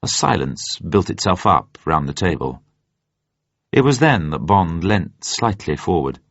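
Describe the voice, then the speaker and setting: A man narrates a thriller in a very deep, rough-edged voice. His delivery is dramatic and measured, building suspense. The sound is dull and quite echoey. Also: very animated, a bit bright. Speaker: narrator, reader.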